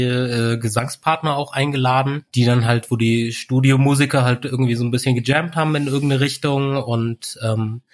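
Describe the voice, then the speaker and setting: A man in his forties telling stories in a relaxed, rambling way, full of fillers, as a guest in a casual chat. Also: mid-range voice. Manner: relaxed storyteller, many fillers like "halt" and "irgendwie". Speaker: podcast guest.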